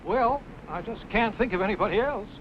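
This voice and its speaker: A chatty country storekeeper with a high man's voice. He talks haltingly, thinking aloud in a folksy way. It is an old radio drama, muffled and faintly noisy. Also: lively intonation. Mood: chatty, musing. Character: talkative, folksy country storekeeper, slow to recollect. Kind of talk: old-time western radio drama acting.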